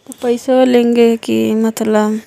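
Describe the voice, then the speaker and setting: Woman doing a sharp mocking young lady on a call, bhojpuri accent, high voice. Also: level tone, takes it slowly, creaky, dry recording. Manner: questioning, mocking, scolding. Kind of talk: scripted comic phone prank.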